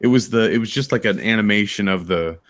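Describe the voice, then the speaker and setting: A man's voice: fairly low pitch, fast, background noise. A young man guest in a casual group chat, joking and searching for words.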